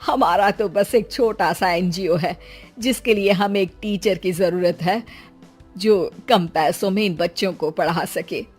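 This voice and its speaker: A woman's voice: fairly low pitch, a little slow, a little mellow, breathy edge, soft background music. Woman as a polite, modest charity worker.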